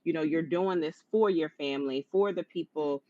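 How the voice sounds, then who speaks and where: A woman with a low-pitched voice hosting a conversational interview in an encouraging, affirming manner. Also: moderate pace, warm tone, no echo. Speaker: interview host. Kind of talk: conversational live-streamed interview. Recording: dull lo-fi online stream audio.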